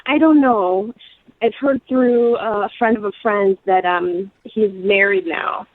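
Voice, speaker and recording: A woman's voice: medium pitch, slow pace, no echo. A woman calling in by phone, chatting casually in a hesitant, sheepish way. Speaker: phone-in caller. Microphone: telephone. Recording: muffled lo-fi phone line.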